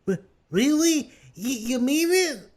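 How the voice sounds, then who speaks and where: Nerdy cartoon intern played by a man, theatrical, slow delivery with very lively pitch. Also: exaggerated voice. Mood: overjoyed. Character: aggrieved nerdy male intern, easily overjoyed. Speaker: voice actor. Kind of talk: amateur web cartoon acting.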